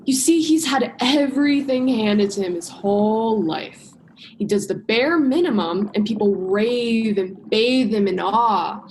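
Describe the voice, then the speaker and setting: Actress playing bitter young woman scientist in a radio play, highish voice, sarcastic, leans hard on words. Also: expressive, a little hiss or hum, low-quality recording. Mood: scornful. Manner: sardonic, emphatic, drawn-out stresses. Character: bitter, sarcastic, foul-mouthed young female scientist diver. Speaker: drama student acting. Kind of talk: radio play monologue and dialogue.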